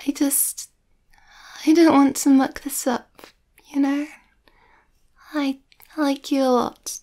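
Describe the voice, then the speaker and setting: Shy young werewolf girlfriend played by a woman for ASMR roleplay: high, mellow, nervous, breathy. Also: little pitch movement, takes it slowly. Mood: shy, anxious. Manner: hesitant, breathy, often near whisper, nervous.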